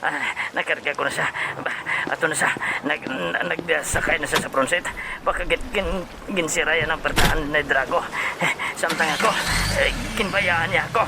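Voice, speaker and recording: A man's voice: a little high, creaky, heavy background noise. A man playing a frightened, stammering henchman with an Ilonggo accent in a comic audio drama. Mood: nervous, bewildered. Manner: halting, stammering, repeating himself. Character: frightened, stammering henchman forced at gunpoint to obey. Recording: loud music under the voice.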